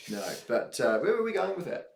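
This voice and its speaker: A man's voice: fairly high pitch, big pitch swings, brisk, creaky. A man co-hosting casual race commentary, bantering with Kiwi slang. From New Zealand. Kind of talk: casual sports chat on a stream. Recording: roomy echo.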